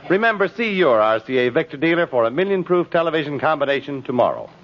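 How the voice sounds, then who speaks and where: A male announcer with a lively, varied pitch on a dull old radio recording. His delivery is polished and emphatic, in the salesman style of 1950s broadcasting. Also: fast talker, faint music behind.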